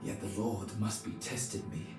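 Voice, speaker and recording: An actor playing a pious medieval monk narrating his journal for a video game in a formal, measured way. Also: on the low side, little pitch movement, recorded from a distance, natural voice. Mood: solemn, reflective. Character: male medieval monk chronicler, pious, solemn. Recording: very echoey, music behind.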